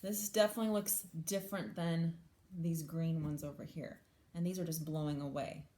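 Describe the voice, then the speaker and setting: A woman's voice: deep voice, steady tone, moderate pace, warm tone. A woman teacher reading a picture book aloud to children, adding simple explanations and questions for her young listeners. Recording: echoey room sound.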